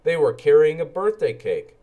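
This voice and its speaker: A man reads a children's storybook aloud as a storyteller. His delivery is expressive and animated, with intonation that moves widely from line to line. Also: on the high side, moderate pace. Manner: animated, acts out character lines.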